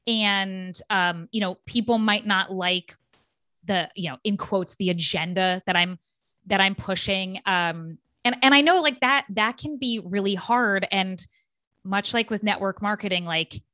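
A woman's voice: medium pitch, unhurried, bright, forceful tone, no echo, clean studio sound. A woman hosting a podcast interview, chatty and casual with lots of filler words. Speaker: podcast host.

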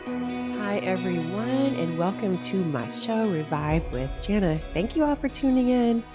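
A middle-aged woman hosting a solo podcast, her voice raspy because she is nearly losing it. She talks bluntly and swears freely. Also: medium pitch, lively intonation, unhurried, loud music behind the voice. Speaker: podcast host. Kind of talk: casual solo podcast talk.

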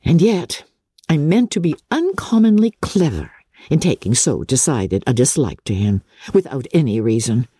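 A woman voicing a witty, candid, spirited young English gentlewoman in a novel reading, with a wry, teasing, articulate manner. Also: deep voice, sing-song, normal speed, husky, no echo, pitched below the performer's own voice, exaggerated voice. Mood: playful irony. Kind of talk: dramatic classic novel reading.

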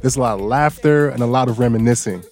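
A man hosting a news podcast, narrating a personal story in a relaxed, lightly joking way. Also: mid-range voice, quick pace, faint music behind. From Bangkok, Thailand. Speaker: guest host and audio engineer.

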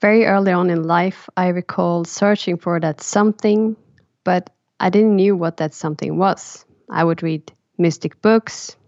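A Swedish woman in her thirties with a slightly low voice talks through her life story in an unhurried, reflective way. Her voice sits close and dry, with a somewhat dull, muffled tone. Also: fairly even intonation, a little mellow, dry recording. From Sweden. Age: 30s. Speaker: podcast co-host. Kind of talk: personal storytelling in a podcast chat.

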